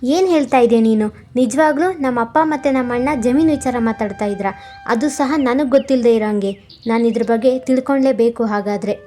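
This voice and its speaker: A woman's voice: on the high side, a little fast, warm tone, soft background music, lightly acted. A woman voicing a touchy, easily swayed young husband in a cartoon moral story, with an emphatic manner. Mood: suspicious.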